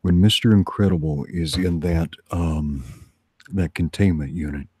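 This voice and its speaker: Very deep, crisp-voiced man chatting. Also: a little slow, mellow, dry recording, studio quality. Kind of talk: casual chat on a livestream.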